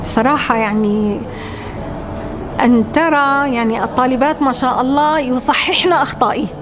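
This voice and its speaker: A woman's voice: sits high, racing. A woman Arabic teacher explaining her subject in a documentary interview.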